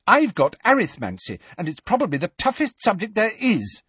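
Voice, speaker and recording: A man voicing a clever, bossy teenage witch who talks in a sharp, clipped, short-tempered way. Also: high-pitched, huge pitch swings, moderate pace, breathy edge, dry recording, voice pushed up, heavily acted. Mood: irritated.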